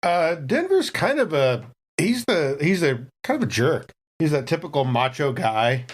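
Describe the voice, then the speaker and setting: A man's voice: a little high, big pitch swings, unhurried, breathy edge. A man playing a tabletop game, talking casually and bantering with the other players.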